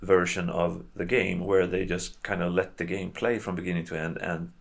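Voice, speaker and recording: A man with a very low voice and clear vocal fry talks through his material as a video host. His delivery is casual and unscripted, halting as he searches for words and rambling with small qualifications. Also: flat delivery. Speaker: video host reviewing games and adaptations.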